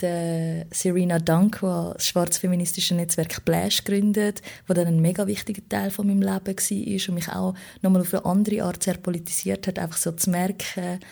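A woman's voice: on the low side, gravelly, mellow. A woman with a Swiss German accent giving an informal, reflective personal account as an interview guest, searching for her words. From Switzerland. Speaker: podcast guest, political candidate.

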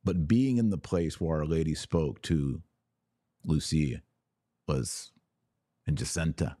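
Podcast host, male, American, low register, dry sound, conversational and wry, talking solo in an informal commentary style. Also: lively intonation, slow pace, warm tone, slightly rough, no echo. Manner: conversational, informal, wryly speculative asides. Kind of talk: casual solo commentary on livestream.

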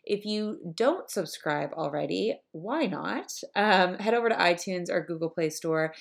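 Podcast host, young woman, cheerful and chatty, lower pitch. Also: animated, unhurried, creaky. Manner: upbeat, chatty, with frequent ums. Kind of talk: podcast interview.

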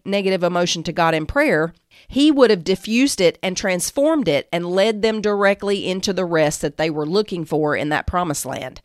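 A woman giving a devotional Bible teaching, part scripted and part conversational, in an earnest, emphatic way with deliberate pauses. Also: on the low side, dry recording, studio quality. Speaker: Bible teacher and show host.

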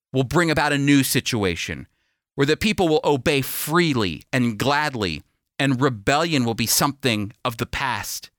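A man's voice: fairly high pitch, fairly bright tone, no room sound, studio quality. A man preaching a sermon and reading scripture, stressing and repeating key phrases and posing rhetorical questions. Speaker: preacher and Bible teacher on a radio program.

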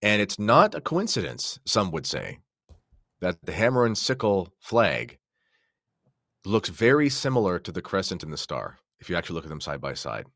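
Deep-voiced man giving podcast commentary. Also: big pitch swings, normal speed, fairly bright tone, dry recording, studio quality.

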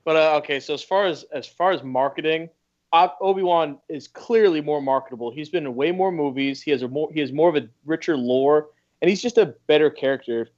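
A man's voice: a little high, brisk, darkish tone, lo-fi sound. A man guesting on a casual podcast, giving laid-back opinions.

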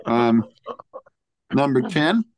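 A man chats casually as he presents a list, taking his time over it. His delivery is slow and halting, and the sound is very dry with no room around it. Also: mid-range voice, big pitch swings, a little mellow, dry recording. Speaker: podcast co-host presenting a top ten list. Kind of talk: casual chat, reading out a list.

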